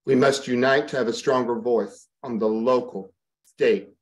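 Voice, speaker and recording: A man reading a prepared campaign speech as a board candidate, steady and serious. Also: medium pitch, normal speed.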